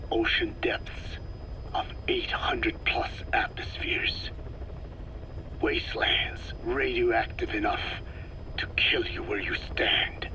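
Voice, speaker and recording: A man's voice: lowish voice, low-quality recording. An actor playing a grave, learned old man, a scientist lecturing formally in a video game cutscene. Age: older. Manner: solemn, deliberate, formal lecturing.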